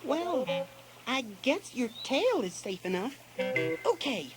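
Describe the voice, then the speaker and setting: A woman's voice: high-pitched, big pitch swings, slow, exaggerated voice. A woman voicing a cheeky, naive little gingerbread boy in a sing-song way for a children's storybook recording. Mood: unsure, naive. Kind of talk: children's storybook audio acting. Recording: loud music behind the voice. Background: music.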